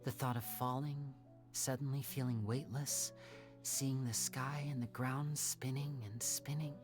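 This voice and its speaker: An actor playing a young male magician with secrets, speaking in a soft, reflective, measured game-dialogue style. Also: mid-range voice, steady tone, natural voice. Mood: wistful. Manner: soft-spoken, reflective, measured theatrical delivery. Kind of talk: scripted dramatic game dialogue. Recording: music playing under the voice.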